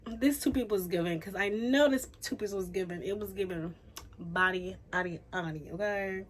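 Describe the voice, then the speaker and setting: A young woman from Haiti chatting casually through a storytime, animated and full of fillers. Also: medium pitch, normal speed. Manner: chatty, animated, says "like" and "y'all" a lot. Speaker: YouTuber.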